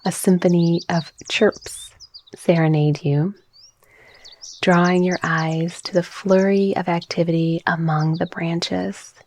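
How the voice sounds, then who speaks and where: A woman softly narrating a guided relaxation visualization in a breathy, soothing, measured manner. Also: on the low side, flat delivery, a little hiss or hum. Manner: soft, breathy, soothing, gently measured delivery. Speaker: guided meditation narrator, mindset coach.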